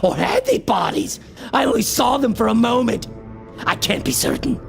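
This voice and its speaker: A shrill, creaky-voiced frantic occultist. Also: male voice, huge pitch swings, soft background music, exaggerated voice. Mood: terrified raving. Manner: theatrical, grandiose, drawn-out shrieked declamation. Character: frantic obsessed male occultist raving about cosmic horrors. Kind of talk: melodramatic horror radio theater acting.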